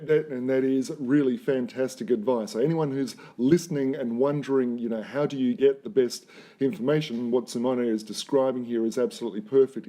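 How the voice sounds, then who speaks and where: A man hosting an interview, summing up his guest's answers in a measured, encouraging way. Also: medium pitch, mellow. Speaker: interviewer host.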